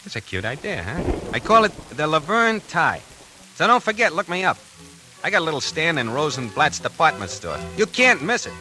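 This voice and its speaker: Give me a nervous New tie salesman telling his tale, animated, over faint music. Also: fairly high pitch, normal speed, heavily acted. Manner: breathless storytelling, swings from hushed to frantic. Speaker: radio actor narrating in character. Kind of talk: 1940s radio acting.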